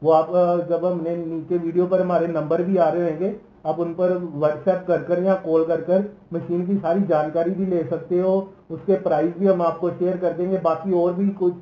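A man in his thirties from Punjab speaks in a fairly high voice, explaining machines from behind a desk. His talk is casual and full of fillers and false starts. The room rings a little, and the sound is a bit dull. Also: flat delivery, moderate pace, few pauses, echoey room. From Punjab, India. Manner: casual, hesitant, many fillers and restarts. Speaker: machinery company salesman. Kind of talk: product explainer video. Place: office seated at a desk.

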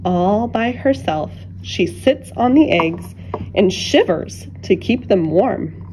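A woman reading a children's picture book aloud with deliberate, expressive phrasing and dramatic pauses. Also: mid-range voice, slight background noise, low-quality recording. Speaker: children's storybook reader.

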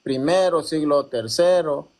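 A man's voice: a little high, measured pace, a little mellow. A man hosting an online debate, asking guests direct questions. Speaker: host. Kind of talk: moderating a debate.

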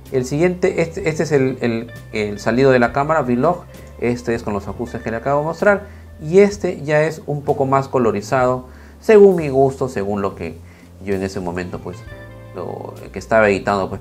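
A man in his thirties, slightly hoarse, talking casually on a lapel mic in a small home studio, with many fillers and restarts. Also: mid-range voice. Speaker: video creator reviewing camera footage. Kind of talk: casual talk to camera, then screen walkthrough.

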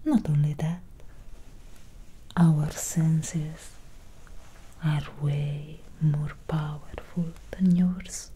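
A woman as a whispering, slow vampire doctor. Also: sits low, fairly even intonation, slight background noise. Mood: gentle, reassuring. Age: young adult. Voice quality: breathy whisper. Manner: slow, soothing, hushed. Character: gentle, melancholy female Victorian vampire doctor. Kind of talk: soft-spoken ASMR medical roleplay. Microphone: close microphone.

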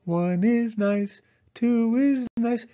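A man voicing a cheerful, eager little girl mouse with an excited singsong manner in a children's storybook. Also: very high-pitched, extremely slow, muffled recording, pitched above the performer's own voice, full character voice. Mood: singing sweetly.

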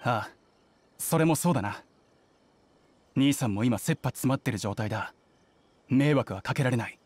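A man's voice: mid-range voice, lively intonation, moderate pace, darkish tone, slight background noise, lightly acted. A man voicing an earnest young nobleman in an anime game, burdened and sober, with formal speech. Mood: resigned, resolute. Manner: formal, sober, self-reproaching.

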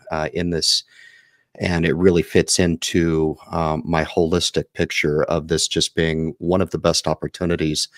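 A man hosting an investing panel over a video call, closing with measured, earnest remarks on a headset mic. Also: low voice, dry recording, clean studio sound. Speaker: host. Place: home office, video call.

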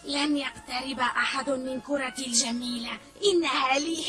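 A woman's voice: high-pitched, unhurried. A woman voicing a proud, possessive guardian of ancient treasure in an Arabic cartoon dub, with theatrical delivery. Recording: loud music behind, old cartoon soundtrack.

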